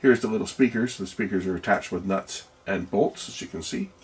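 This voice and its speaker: A man with a gravelly voice giving casual, hands-on electronics commentary, musing aloud and asking himself questions as a video host. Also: fairly low pitch, fairly quick.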